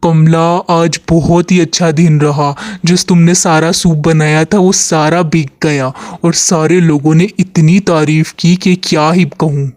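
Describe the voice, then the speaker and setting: A man's voice: a little high, level tone, measured pace, few pauses, a little mellow, no echo, clean studio sound, lightly acted. A man playing a humble, earnest village soup seller in a moral story. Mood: pleased. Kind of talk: moral story character dialogue.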